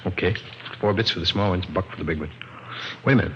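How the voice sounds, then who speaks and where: A man's voice: sits low, fast. An actor in an old radio crime drama playing a sleazy, chummy peddler with slangy, wheedling salesman patter. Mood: chummy, dealing. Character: sleazy, chummy male peddler of illicit picture books. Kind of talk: 1950s radio drama acting. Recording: dull, very noisy old radio broadcast.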